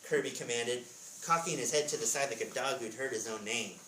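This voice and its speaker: A man reads a story aloud in an expressive storybook style, slipping into casual asides. His voice sits at a middling pitch and is picked up from a distance in an echoey room with faint noise. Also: a little fast. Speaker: author reading from his book at a school readers theatre.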